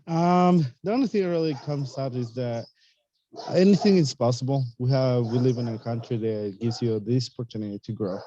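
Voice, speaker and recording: A man on a video call talking about financial freedom from investing, pausing often to search for words. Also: mid-range voice, dark tone, muffled recording. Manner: searching for words, many fillers. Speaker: real estate investor guest. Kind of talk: casual reflective talk on a video call.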